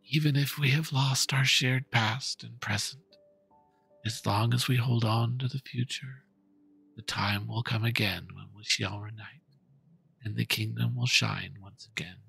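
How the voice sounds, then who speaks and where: A man voicing a gentle, selfless princess in a solemn, earnest way in a fairy-tale reading. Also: mid-range voice, fairly even intonation, a little slow, vocal fry, breathy edge, faint music behind, full character voice. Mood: solemn, hopeful.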